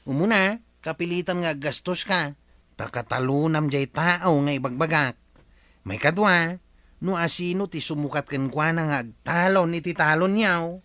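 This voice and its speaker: Gossipy, blunt village man, bright forceful voice on the higher side, dry sound, radio comedy. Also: normal speed, no room sound. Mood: teasing. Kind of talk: comedic radio drama acting.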